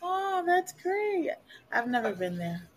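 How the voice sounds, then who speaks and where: A woman's voice: very high voice, slow pace. A woman from Puerto Rico hosting a live online show, chatting casually and rambling with frequent laughs. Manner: rambling, animated, laughs often. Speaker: livestream host. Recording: loud music behind the voice. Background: music playing.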